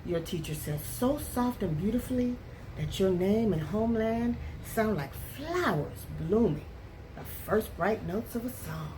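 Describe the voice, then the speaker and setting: A woman reading a picture book aloud as a teacher does for young students, with deliberate, expressive phrasing. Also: mid-range voice, noisy background. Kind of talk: expressive read-aloud storytelling for children.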